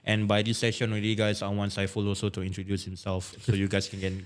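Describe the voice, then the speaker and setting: A man's voice: fairly low pitch, monotone, quick pace. A man co-hosting a podcast, chatting casually and telling jokey stories with lots of fillers. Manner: chatty, joking, filler-heavy storytelling. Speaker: podcast co-host. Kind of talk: casual chat.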